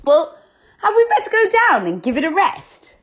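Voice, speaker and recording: Woman doing a brisk, bold young boy. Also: very high voice, sing-song, dull audio, pitched above the performer's own voice. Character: brave, brisk boy pilot.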